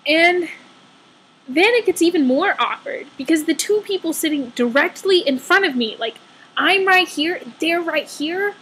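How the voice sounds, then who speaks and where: A young woman telling a casual personal story in an animated, exaggerated way, acting out her own asides as a storytelling vlogger. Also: extremely high pitch, a little slow, rough recording.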